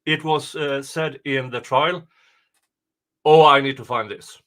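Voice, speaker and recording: Male streamer, unhurried and bright-toned, informal, joking delivery. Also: mid-range voice, fairly even intonation. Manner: jokey, quick to laugh. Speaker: livestream host. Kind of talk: casual livestream chat.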